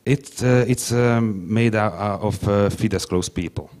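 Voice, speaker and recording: Low-voiced man with a Hungarian accent. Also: darkish tone. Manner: halting, many restarts and fillers. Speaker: panelist. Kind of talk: panel discussion. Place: conference stage panel. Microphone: handheld mic.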